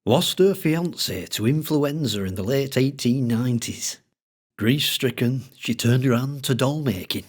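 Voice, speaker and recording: A man voicing a secretive older collector with a thick Lancashire accent, speaking in a slow, droning way with dialect grammar. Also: medium pitch, animated, slightly rough, voice pushed up. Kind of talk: audiobook character voice.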